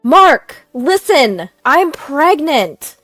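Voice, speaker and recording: A woman's voice: high-pitched, sing-song, very slow, a bit bright, dry recording, clean studio sound, soft background music, pitched above the performer's own voice, heavily acted. A woman voicing a devoted wife in a narrated story, earnest and emotional. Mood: urgent, excited. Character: devoted, pleading young wife. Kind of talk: acted dialogue in narrated story.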